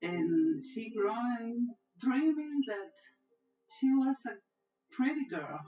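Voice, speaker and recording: A woman's voice: fairly high pitch, expressive, takes it slowly, warm tone. A middle-aged woman from Rica, speaking simple, broken English in an interview. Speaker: interviewee, mother. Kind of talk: documentary interview. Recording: roomy, distant, dull audio with music.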